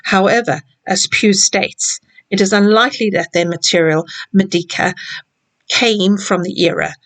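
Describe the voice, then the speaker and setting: A woman guiding viewers through a hotel room and then telling an old local legend, gently, with small restarts. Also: mid-range voice, steady tone, measured pace. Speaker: hotel tour guide. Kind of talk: guided room tour and legend narration.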